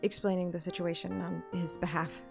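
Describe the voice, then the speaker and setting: A woman's voice: lowish voice, little pitch movement, a little fast, vocal fry, music in the background. Plucky young game heroine voiced by a woman. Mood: teasing, concerned. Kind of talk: acted game dialogue.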